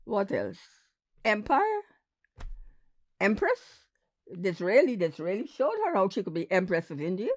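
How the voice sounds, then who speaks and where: A woman speaking in an animated, questioning way in a documentary interview. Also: medium pitch, unhurried, pauses often.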